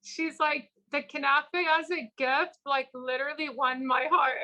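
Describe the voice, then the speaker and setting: A woman with a high, bright voice chats loosely as an interview guest. She rambles through stories and leans on fillers like "like" and "you know." She slips into the voices of the people she quotes. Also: a little slow, rough recording. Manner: animated storyteller who acts out quoted people. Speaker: small business founder, guest. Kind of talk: casual interview chat.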